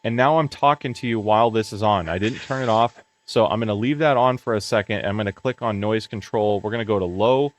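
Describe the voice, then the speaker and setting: A man's voice: on the low side, fairly quick, few pauses. A man casually explaining a headset's features on the headset's own mic, with occasional filler words. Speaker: tech reviewer. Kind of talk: product review walkthrough. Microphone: headset microphone under test.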